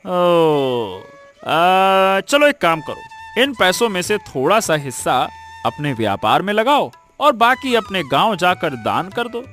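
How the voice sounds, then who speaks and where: A man playing a kindly, generous merchant elder in a Hindi cartoon story, persuasive, with drawn-out interjections. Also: sits high, sing-song, a bit bright, faint music behind, full character voice. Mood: advising, persuasive.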